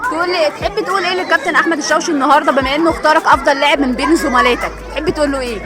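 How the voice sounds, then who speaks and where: A young woman with an Egyptian accent interviewing young football players outdoors, asking brisk, coaxing, repeated questions. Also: high voice. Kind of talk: quick street interview. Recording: outdoor clip with music and crowd. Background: music and crowd chatter.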